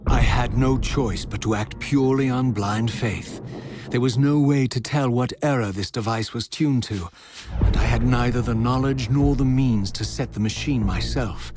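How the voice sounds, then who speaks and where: An actor playing a brooding undead wraith avenger, narrating a game's story in a grave, eloquent, solemn and measured theatrical manner. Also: male voice, medium pitch, music in the background, close to an everyday voice. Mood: solemn, fateful. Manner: solemn, formal, measured dramatic delivery. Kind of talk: theatrical scripted game narration.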